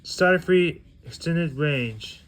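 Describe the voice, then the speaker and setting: A man narrating a toy unboxing casually in short, plain, halting phrases. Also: fairly high pitch, animated, a bit bright, slight background noise. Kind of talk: casual unboxing narration, reading packaging aloud.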